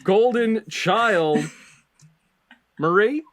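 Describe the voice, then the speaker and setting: Male quiz host: high register, deliberate pacing, announcer-style readouts mixed with casual banter. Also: expressive, crowd noise. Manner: announcer-like, repeats contestants' answers back. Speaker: game show host. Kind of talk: lively scripted quiz hosting with casual banter.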